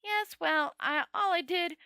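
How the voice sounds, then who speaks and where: Woman playing a nervous naive man, bright and high. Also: unhurried, no room sound, clean studio sound, exaggerated voice. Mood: nervous, puzzled. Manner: hesitant, earnest. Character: nervous, polite, naive man in a fantasy story.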